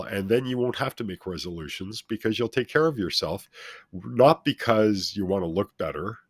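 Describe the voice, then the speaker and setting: A middle-aged or older man with a deep, authoritative voice, speaking as a motivational guest and addressing listeners in an emphatic, instructive way. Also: dry recording, studio quality. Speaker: talk show guest, self-help speaker. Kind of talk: motivational interview talk, speaking at length.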